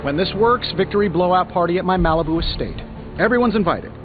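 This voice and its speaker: An actor playing a flippant, rich playboy superhero in a video game, with breezy, wisecracking delivery. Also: male voice, sits high, lively intonation, fast talker, narrow, tinny audio. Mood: cocky, playful. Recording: band-limited game audio under loud music.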